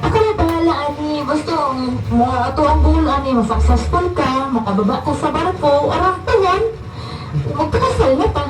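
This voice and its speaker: A woman's voice: high voice, expressive, moderate pace. A woman with a Visayan Filipino accent playing a seaman's loving, loyal young girlfriend in a radio drama. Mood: supportive, hopeful. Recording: echoey, distant, music behind.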